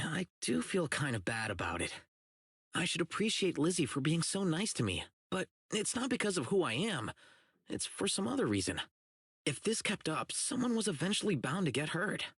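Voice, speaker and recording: A man's voice: on the high side, big pitch swings, normal speed, fairly bright tone, slightly rough, dry recording, studio quality, heavily acted. An actor playing a blunt, earnest young policeman who is socially oblivious, in video game voice lines. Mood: regretful, thoughtful. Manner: blunt, earnest, literal-minded. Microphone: close studio mic, isolated dry voice track.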